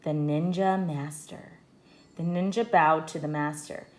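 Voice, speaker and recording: A woman reading a children's book aloud like a teacher, in expressive scripted storybook narration. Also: low voice, a little slow.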